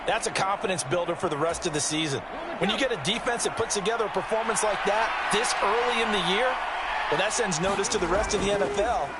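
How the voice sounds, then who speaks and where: A man's voice: sits high, fast, close to an everyday voice. A man voicing a confident, folksy American colour commentator in a football video game, speaking emphatically. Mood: emphatic. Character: confident folksy male football analyst, chummy with partner. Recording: very noisy game ambience with thuds.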